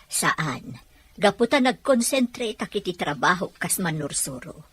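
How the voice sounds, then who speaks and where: Husky, wistful older sister acted by a woman. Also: fairly low pitch, animated, unhurried. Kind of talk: Ilocano audio drama acting.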